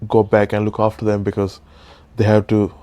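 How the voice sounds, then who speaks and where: A man from Sri Lanka hosting an interview, asking questions in halting phrases and often affirming the guest. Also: lowish voice, completely flat delivery, warm tone, slight background noise. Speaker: podcast host. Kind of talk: interview conversation.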